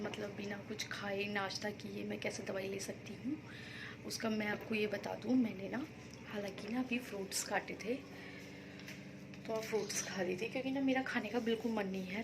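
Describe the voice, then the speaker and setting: A woman vlogging about her day in a casual, rambling way, a little hoarse from being unwell. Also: mid-range voice, flat delivery, moderate pace, background noise. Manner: chatty, rambling, explains herself to viewers. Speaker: vlogger housewife. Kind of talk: casual daily vlog chat.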